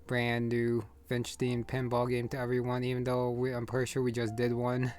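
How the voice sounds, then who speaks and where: A man's voice: mid-range voice, completely flat delivery, normal speed, a little mellow. A man giving casual, hesitant game playthrough commentary, rambling and thinking aloud as he goes. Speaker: gaming video creator.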